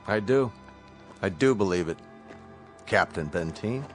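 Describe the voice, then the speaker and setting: An actor playing a courteous, businesslike colonel in command of a rescue spaceship, measured and official, in a radio drama. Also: male voice, sits low, lively intonation. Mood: polite, firm. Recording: radio drama with faint music behind.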